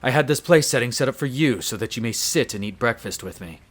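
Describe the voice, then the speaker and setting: A man's voice: medium pitch, expressive, fast, natural voice. A man playing a haughty, irritable aristocratic vampire master in an audio roleplay, speaking in a curt, condescending, formal manner. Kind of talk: scripted audio roleplay acting.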